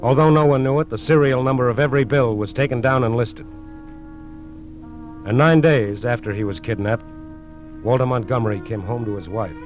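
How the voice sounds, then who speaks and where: An actor with an American accent as a solemn narrator, delivering dramatic scripted 1940s radio crime narration. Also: male voice, medium pitch, fairly quick, pauses often, lightly acted. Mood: grave, dramatic. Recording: muffled old radio broadcast. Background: dramatic music.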